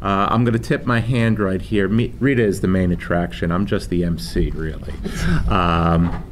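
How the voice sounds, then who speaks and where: A man's voice: low-pitched, steady tone, moderate pace. A man introducing a public workshop as a financial planner, joking about himself, with a New York accent and plenty of fillers. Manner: self-deprecating, joking, many fillers. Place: library meeting room. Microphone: microphone on stand. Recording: noisy room recording.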